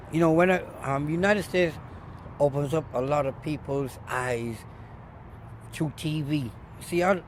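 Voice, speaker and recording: A middle-aged man from Barbados, a former barber, telling stories in an animated, emphatic way during a casual outdoor interview by a street. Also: fairly high pitch. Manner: animated storyteller, loud emphatic bursts. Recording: faint street noise outdoors.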